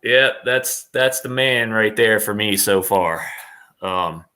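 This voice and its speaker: A man's voice: lowish voice, takes it slowly, bright, forceful tone, smooth. A man chatting casually as a guest on a livestream. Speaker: guest, voice actor.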